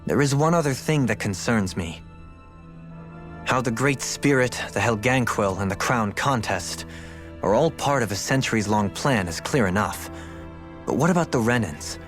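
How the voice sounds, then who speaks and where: A man playing a composed, scholarly nobleman companion in a video game, speaking in a measured, eloquent, formal way. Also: medium pitch, lively intonation, faint music behind, natural voice. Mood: thoughtful. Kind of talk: video game cutscene acting.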